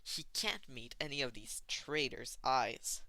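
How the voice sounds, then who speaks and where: Bright, dry-sounding story narrator. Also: mid-range voice, expressive, slow, no room sound, rough recording. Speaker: fan fiction narrator. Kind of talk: expressive story reading.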